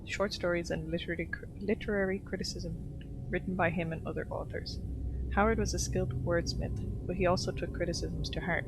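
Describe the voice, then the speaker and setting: Low-voiced, creaky woman streaming a game. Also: background noise. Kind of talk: casual game commentary and reading on-screen text aloud. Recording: clear game music behind the voice. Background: game music.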